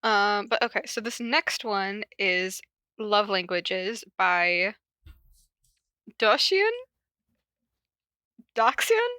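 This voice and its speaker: A woman's voice: medium pitch, animated, very slow, pauses often, pushed and bright, clean tone, dry recording, clean studio sound. A woman hosting a podcast in a casual, giggly, self-mocking and informal way, introducing readings. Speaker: podcast host introducing fan fiction readings. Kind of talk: casual chat with read-out summaries.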